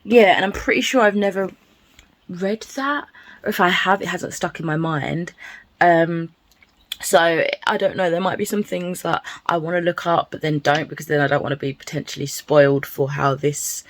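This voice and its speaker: A woman's voice: on the low side, normal speed. A woman talking casually to her viewers as a book vlogger, in a playful, rambling, self-deprecating way. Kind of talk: casual chatty vlog to viewers.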